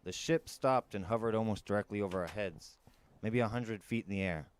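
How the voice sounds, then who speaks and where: A man's voice: lowish voice, fairly quick, a little hiss or hum. A man narrating a first-person adventure novel in a dramatic storytelling style. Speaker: storyteller reading an audiobook.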